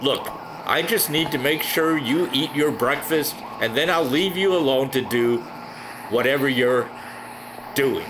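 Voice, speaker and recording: A man's voice: medium pitch, unhurried, noisy background, lightly acted. An actor playing an impatient male hospital orderly who speaks curtly to a patient. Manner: impatient, curt.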